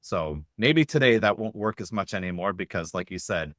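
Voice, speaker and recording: A man's voice: low-pitched, expressive, moderate pace, fairly bright tone, dry recording, studio quality. A man hosting a casual conversational interview, thinking aloud in a rambling way. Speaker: podcast interviewer.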